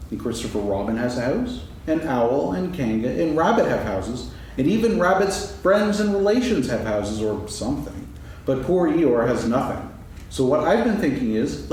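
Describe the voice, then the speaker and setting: Echoey, distant stage recording. Simple-hearted storybook bear played by a man, earnest and musing, highly animated delivery. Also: slight background noise, lightly acted. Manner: earnest, musing, hums in singsong. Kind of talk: staged dramatic reading of a children's story. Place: stage before an audience, seated reading.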